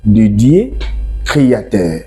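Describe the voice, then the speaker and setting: A man's voice: lowish voice, very animated, takes it slowly, mellow, husky. A man from the Congo with a Congolese accent, explaining his religion as an interview guest and restating key words. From Democratic Republic of the Congo. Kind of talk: explanatory interview talk, mixing French and Lingala.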